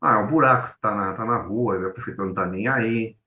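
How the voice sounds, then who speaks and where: A man with a São Paulo Brazilian accent presents in a conversational, informal academic way. His voice is bright and forceful, with words often repeated for explanation. The sound is echoey, as in a bare room. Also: fairly low pitch, a little fast, recorded from a distance. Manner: conversational, repeats words, illustrates with acted-out everyday examples. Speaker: co-presenter, journalist. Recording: roomy echo.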